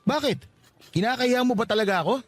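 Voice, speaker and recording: A man's voice: extremely high pitch, animated, fast, over music. An actor playing a lovestruck, persistent young working-class man, pleading insistently in a radio drama. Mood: pleading, lovesick. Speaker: voice actor in a radio-style love story. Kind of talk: Filipino radio drama acting.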